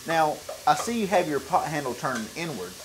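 A man's voice: a little high, expressive, moderate pace. An American man hosting a casual cooking show interview, asking questions and agreeing along the way. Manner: affirming, asks questions. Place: firehouse kitchen, indoors. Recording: clear kitchen noise, sizzling pan.

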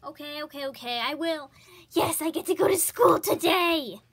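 A woman's voice: sits high, normal speed, pitched above the performer's own voice. A woman doing a whiny scheming schoolgirl. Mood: eager. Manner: pleading, excitable, theatrical fake coughing. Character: whiny schoolgirl pleading, then faking sick. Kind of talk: comedy skit acting.

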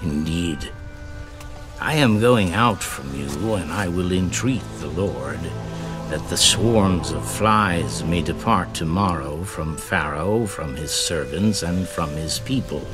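A grave male prophet in a dramatized scripture reading. His voice is low and slow, measured and earnest, and he stands firm over a clear musical bed. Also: over music.

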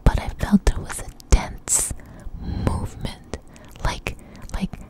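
A woman telling a rambling personal story in a breathy, hushed ASMR whisper, halting as she goes, very close to the microphone. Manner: slow, hushed, halting delivery.

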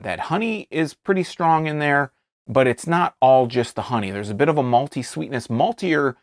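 An American man in his thirties giving a casual, unscripted review to camera, halting and rephrasing as he describes things. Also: mid-range voice, creaky, dry recording, studio quality. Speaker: beer reviewer, video host. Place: small indoor studio, seated at a table.

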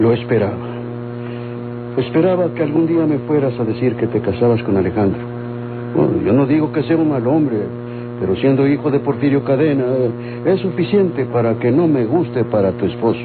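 An actor with a Mexican accent playing a grave, protective older father in a radio drama, deliberate and folksy. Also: medium pitch, expressive, noisy background, natural voice. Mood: grave, disapproving. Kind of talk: golden-age Mexican radio drama acting. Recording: tinny old radio recording, music behind.

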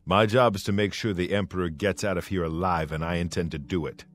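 An actor playing a dutiful, resolute imperial bodyguard in a fantasy game, firm and matter-of-fact. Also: male voice, low voice, fairly even intonation, brisk, lightly acted.

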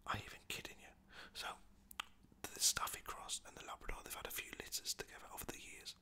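A person with a British accent whispering casual, cheeky, slangy stories in a breathy ASMR style. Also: fast. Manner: cheeky, chatty, slangy, mild swearing. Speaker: storyteller talking about own pets.

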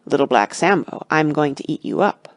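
A woman's voice: deep voice, lively intonation, normal speed, no echo, voice pushed down. A woman voicing a menacing, boastful, greedy male tiger in a children's storybook.

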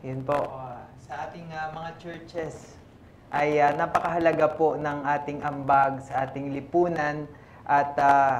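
A young man, a church member guest on a talk show, answering earnestly with frequent "ah" pauses. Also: fairly high pitch, level tone, a little hiss or hum. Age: young adult. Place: small TV studio set. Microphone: lapel mic. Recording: roomy studio sound.

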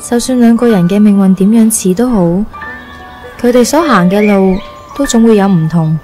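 A woman acting a kindly nun in a radio drama, giving measured, philosophical advice in Hong Kong Cantonese. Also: mid-range voice, mellow, faint music behind, natural voice. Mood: earnest, guiding. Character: calm, kindly nun counselling a grown orphan.

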